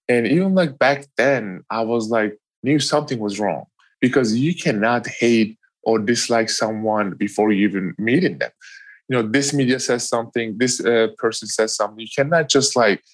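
A man's voice: fairly low pitch, no echo. Earnest Turkish-accented young man. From Turkey. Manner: casual, earnest, many fillers like "you know". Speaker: guest, professional athlete.

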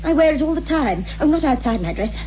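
A high-pitched, anguished widow in an old radio horror play. She is theatrical and intense, her voice swinging widely. The recording is muffled, with music behind it. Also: animated, fast talker, a little hiss or hum. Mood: uneasy, fascinated. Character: anguished widow haunted by a cursed pendant. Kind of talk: 1960s radio horror acting.

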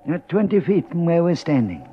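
An old cemetery caretaker, cheerful and a little sly, with folksy deference. His voice sits a bit high. It is 1950s radio drama, muffled and faintly noisy. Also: big pitch swings, moderate pace. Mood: earnest, recounting. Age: older.

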